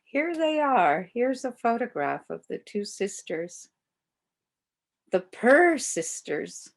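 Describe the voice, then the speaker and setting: An older woman reading a children's storybook aloud as a volunteer, with expressive delivery aimed at young children. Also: on the high side, takes it slowly, gravelly.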